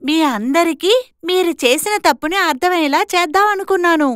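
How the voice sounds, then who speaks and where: A woman's voice: very high-pitched, fairly quick, fairly bright tone, no echo, clean studio sound. A woman voicing a bold young woman in a moral story cartoon, scolding and assertive as she stands up to her in-laws. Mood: firm, explaining.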